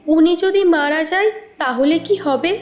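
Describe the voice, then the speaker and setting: High-pitched fretful village wife, acted by a woman. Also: moderate pace, lightly acted. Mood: fretful, plaintive. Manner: plaintive, complaining. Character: fretful, complaining village wife worn down by cold. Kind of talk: animated fairy-tale cartoon dialogue. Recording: echoey voice track with music.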